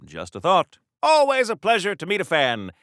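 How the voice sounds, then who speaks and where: A man's voice: high-pitched, huge pitch swings, normal speed, a bit bright, no echo, studio quality, full character voice. A man playing a chatty, pompous wartime comic-book hero in a video game, with flowery diction in a theatrical 1940s radio serial style. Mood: bright, insistent.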